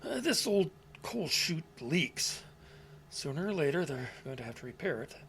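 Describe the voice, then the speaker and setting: An actor playing a weary, guilt-ridden old man in a radio drama, halting and muttering as he repeats his words. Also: fairly high pitch, big pitch swings, a bit bright, slightly rough, heavily acted. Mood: grim, guarded. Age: older. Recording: faint background noise.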